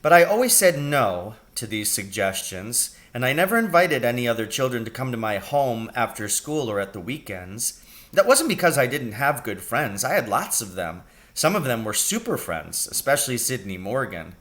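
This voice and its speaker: A man reading a children's story aloud as a teacher would, with expressive narration. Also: medium pitch, normal speed.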